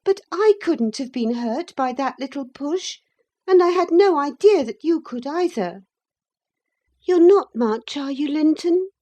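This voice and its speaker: A woman's voice: sits high, lively intonation, measured pace, dark tone, pitched above the performer's own voice. A woman voicing a hot-tempered young girl in an audiobook, passionate and crying out. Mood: pleading. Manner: passionate, cries out. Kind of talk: audiobook character reading.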